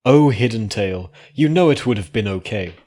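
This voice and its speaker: A man voicing a gentle, regal female pony princess in a measured, composed way for a story reading. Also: lowish voice, lively intonation. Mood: calm, assured. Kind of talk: dramatic fan fiction reading.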